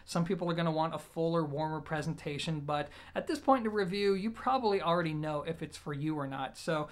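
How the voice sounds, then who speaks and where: A man's voice: a little high, fast talker. A man, giving a conversational, emphatic product review to camera in an explanatory, informal way. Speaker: audio gear reviewer and host. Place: small indoor room, plain wall.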